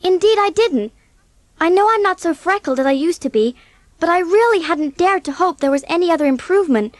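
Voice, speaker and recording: Fiery young girl, high voice, earnest, formal wordy phrasing, storybook drama. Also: lively intonation, fairly quick, no room sound. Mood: grateful. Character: fiery, dreamy, earnest eleven-year-old orphan girl. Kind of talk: dramatised audiobook acting.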